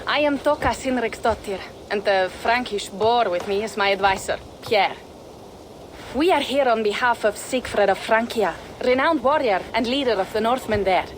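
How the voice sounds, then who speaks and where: A woman's voice: fairly high pitch, moderate pace, slight background noise, lightly acted. A woman playing a proud, eager young Norse warrior envoy in a video game, speaking formally and persuasively in old-fashioned phrasing. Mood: welcoming. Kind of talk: video game dramatic dialogue. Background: background music.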